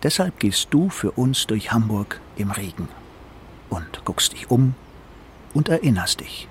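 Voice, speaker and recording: Slow, low-voiced male narrator. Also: sing-song, slight background noise. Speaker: feature narrator. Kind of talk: slow scripted narration in second person. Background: music.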